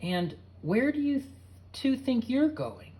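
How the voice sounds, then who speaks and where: A man's voice: extremely high pitch, big pitch swings, a little slow, dark tone, pitched above the performer's own voice, heavily acted. A man voicing a frazzled boy who scolds his unruly dogs. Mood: exasperated. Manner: exasperated, scolding.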